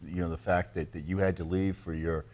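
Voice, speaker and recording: A man's voice: low voice, level tone, a little fast, darkish tone. A man hosting a TV interview show, asking long thoughtful questions while searching for words. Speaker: TV show host.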